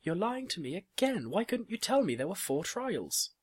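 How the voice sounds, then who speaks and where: A woman's voice: fairly low pitch, big pitch swings, slow, fairly bright tone, breathy edge, voice pushed up, exaggerated voice. A man voicing an emotional, indignant young girl in a story. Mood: accusing. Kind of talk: audiobook character dialogue.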